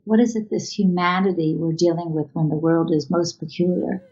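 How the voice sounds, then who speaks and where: A woman giving reflective, halting interview answers as an artist, recorded over an internet video call. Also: on the low side, steady tone, a little mellow, lo-fi sound.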